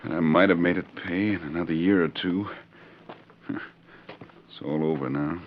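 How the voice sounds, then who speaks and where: An actor playing a troubled, desperate young rancher in a muffled, slightly noisy 1940s radio western. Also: male voice, deep voice, measured pace. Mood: dejected. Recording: muffled 1940s radio recording, faint noise.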